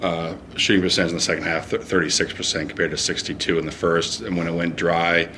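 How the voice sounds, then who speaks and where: A man's voice: sits low, flat delivery, fast, pushed and bright, a little hiss or hum. A man interviewing a coach after a game, recapping and asking questions. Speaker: sports interviewer.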